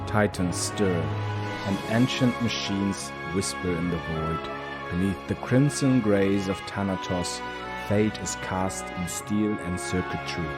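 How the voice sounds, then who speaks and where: Extremely deep, slow male narrator. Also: loud music behind the voice. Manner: solemn, dramatic, short measured phrases. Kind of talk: cinematic scripted fantasy audio drama narration.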